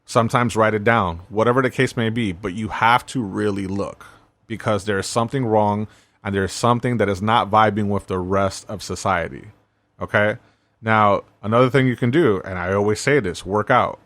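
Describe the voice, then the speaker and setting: A man's voice: on the low side, fairly even intonation, normal speed, a bit bright, no echo. A man hosting a self-help podcast, talking in a laid-back, conversational way and often checking in with "right?". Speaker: podcast host.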